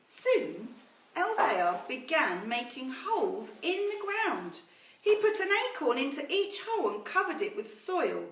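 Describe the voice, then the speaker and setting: A woman reading a story aloud to children in a teacherly way, pausing to explain words and ask questions. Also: high voice, big pitch swings, a bit bright. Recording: roomy echo, distant mic, faint noise.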